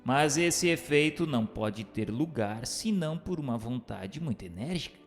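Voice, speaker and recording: Guy reading formal scripted questions n notes, lively intonation, big hall reverb, music behind. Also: mid-range voice, moderate pace. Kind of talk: scripted radio drama narration. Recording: long reverberant hall sound.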